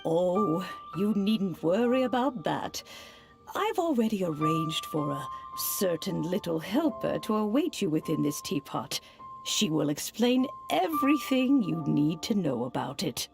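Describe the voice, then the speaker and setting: An actress playing a gentle elderly immortal granny in a video game, speaking in old-fashioned, unhurried phrases with fond chiding. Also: female voice, lowish voice, very animated, creaky, over music, heavily acted. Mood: gentle, helpful.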